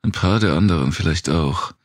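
A man's voice: sits low, moderate pace, no echo, studio quality, voice pushed up. A man voicing a weary, blunt, sardonic wounded woman survivor in an audiobook. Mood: blunt, bitter. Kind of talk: audiobook character dialogue.

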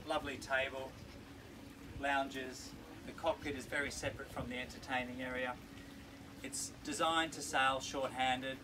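A middle-aged man presenting a walkthrough tour aboard a moored sailing yacht as a yacht broker, in an upbeat, enthusiastic sales-pitch manner. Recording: distant mic with marina background noise.

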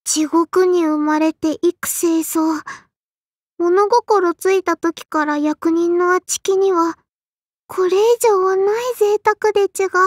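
A woman's voice: very high-pitched, darkish tone, no room sound, clean studio sound. A woman voicing a small, strict but kindly and dutiful sparrow girl for an anime game, in a childlike lisping voice with formal, cutesy phrasing. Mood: tender, grateful. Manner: formal yet cutesy, chirping verbal tics. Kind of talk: Japanese anime game character lines.

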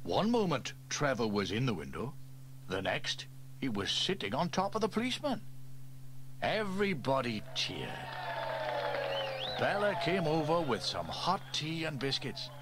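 A man narrating a children's audio story in an expressive, animated read-aloud manner. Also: medium pitch, unhurried, noisy background.

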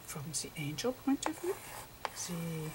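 A woman voicing Einstein as a gentle, eccentric old physicist in a whispery, halting ASMR lecture with repetitive professorial asides. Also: lowish voice, very animated, very noisy. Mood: hushed, explanatory.

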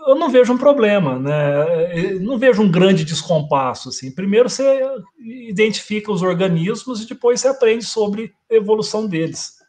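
High-voiced man lecturing on a video call. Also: normal speed. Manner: relaxed, thinking aloud with frequent tag questions. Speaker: guest lecturer. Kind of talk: casual explanatory talk on a video call. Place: home room, indoors.

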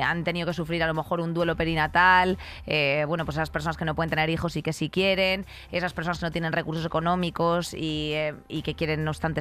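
Bright-voiced young woman, Spain accent. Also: on the low side, smooth. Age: young adult. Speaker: podcast co-host. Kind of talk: casual chat. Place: small radio studio. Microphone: close boom-arm studio microphone.

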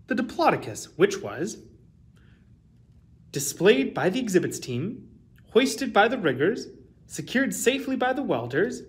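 A man in his thirties reading a children's picture book aloud as a storytime host, with deliberate, emphatic phrasing. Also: sits high, big pitch swings.

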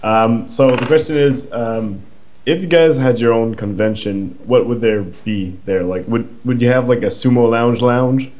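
A man from Quebec calling in to a podcast, chatting casually in a halting, hesitant way. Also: medium pitch, a little mellow. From Quebec, Canada. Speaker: podcast caller. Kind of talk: casual call-in chat. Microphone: remote call.

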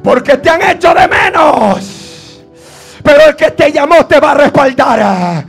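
A man delivers a fervent Pentecostal sermon in a very high, strained voice with a gravelly edge. He exhorts insistently, repeating his phrases. Faint music plays behind him. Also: lively intonation, a little slow, raised voice. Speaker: preacher. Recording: preaching over faint background music.

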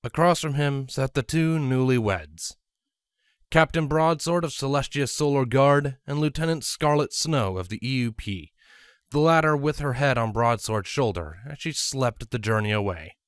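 A man narrates a piece of fiction in a mid-range voice. His delivery rises and falls in an animated way, and the sound is dry, with little room to it. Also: mid-range voice, normal speed, clear, resonant tone, no room sound. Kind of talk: scripted fan fiction story narration.

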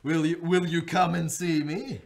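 An actor playing an elderly miser who has turned giddy and generous, in theatrical radio-drama style with frequent laughter. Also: male voice, fairly high pitch, flat delivery, unhurried, gravelly, slight background noise. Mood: jubilant. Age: older.

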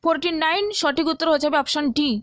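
A woman's voice: high-pitched, level tone, fast, a bit bright, no room sound. A woman teaching through an exam answer key in an instructional way, often checking in with listeners.

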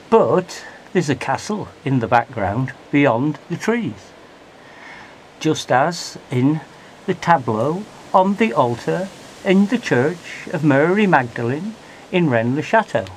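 A man with a somewhat high voice talks eagerly on a handheld camera while exploring a rocky cave in the woods. His commentary is casual and addressed to a companion beside him. A faint outdoor hiss sits under the camera audio. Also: expressive, takes it slowly, rough recording. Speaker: amateur explorer filming a cave.

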